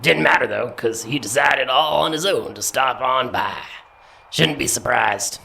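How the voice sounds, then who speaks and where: A man's voice: mid-range voice, unhurried, creaky, bright, forceful tone. A man playing an easygoing, folksy drifter in an audio drama, rambling along. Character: folksy, easygoing drifter and diner odd-jobber.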